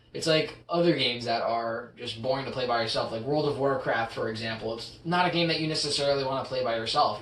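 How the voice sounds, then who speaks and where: A young man co-hosting a casual podcast, rambling with lots of fillers and trailing off quietly at the ends of thoughts. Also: mid-range voice. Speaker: podcast co-host. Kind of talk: casual chat. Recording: roomy echo, distant mic, faint hiss.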